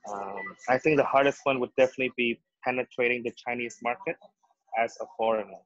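A man's voice: medium pitch, fairly quick, fairly bright tone, dull audio. A young man from Indonesia, a business owner on a webinar panel, answering thoughtfully with frequent ums. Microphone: video call.

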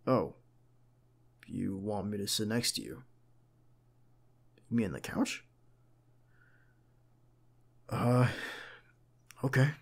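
A man playing a protective, caring bodyguard in an ASMR roleplay, talking conversationally and with gentle insistence to his boss. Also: fairly low pitch, slow, vocal fry, pauses often, breathy edge, heavily acted. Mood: reassuring. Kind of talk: ASMR roleplay acting, one side of a dialogue.

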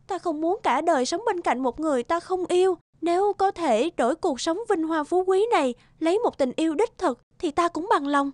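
A woman voicing a naive, gentle young princess in an animated fairy tale, speaking in an earnest, soft-hearted way. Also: very high-pitched, moderate pace, hardly any pauses, no room sound, clean studio sound. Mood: determined, pleading. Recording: music under the voice.

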